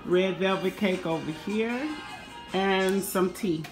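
A woman's voice: mid-range voice, slow, music in the background. A woman vlogger casually walking through her holiday dishes at home, filming on a handheld phone. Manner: relaxed, chatty, pointing out dishes one by one. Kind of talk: casual cook-with-me vlog tour. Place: home kitchen and dining room. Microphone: handheld phone camera.

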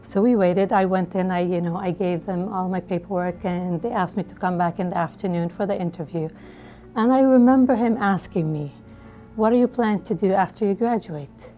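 A middle-aged woman from Liberia recounts her past in a seated studio interview. Her voice is mellow and a little low, and she talks in a relaxed, conversational way full of small fillers. Faint music plays underneath. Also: normal speed. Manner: conversational, frequent "you know" fillers. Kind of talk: personal storytelling. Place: studio with dark backdrop.

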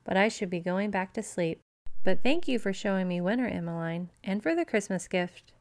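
A woman's voice: medium pitch, lively intonation, normal speed, darkish tone, close to an everyday voice. Woman voicing a mild, curious little boy bear. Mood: grateful.

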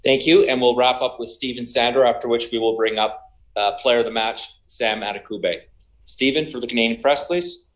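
A man's voice: medium pitch, fairly even intonation, normal speed, fairly bright tone. Man moderating a press conference. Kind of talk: calling on reporters.

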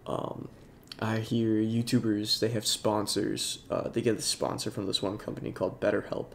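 A young man with a congested voice, talking in a halting, soft-spoken way with many fillers over game footage. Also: lowish voice, little pitch movement, vocal fry, slight background noise. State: congested, sniffling. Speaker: YouTuber. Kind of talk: casual talk over gameplay.

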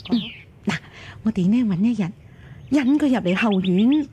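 A woman's voice: mid-range voice, animated, moderate pace, mellow, close to an everyday voice. A woman acting in a radio drama as a scheming, spiteful concubine, insinuating and scornful. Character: scheming spiteful concubine urging a harsh plot. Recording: clear background noise with birdsong.

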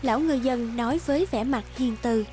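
A woman's voice: a little high, brisk, warm tone. A woman narrator reading a scripted fairy tale for a cartoon. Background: background music.

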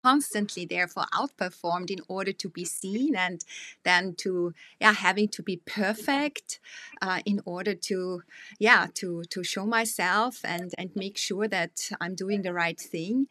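A woman hosts an interview over a video call from home and welcomes her guest with enthusiasm. Her voice is bright and forward, and the sound is dry and close. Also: medium pitch, no room sound. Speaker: host. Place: home room.

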